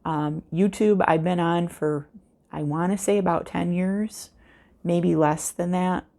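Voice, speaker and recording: An American woman chatting casually in an unscripted vlog, with a calming voice and a rambling, unhurried way of talking. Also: on the low side. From United States. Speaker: craft vlogger, host. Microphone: external microphone with a cell phone.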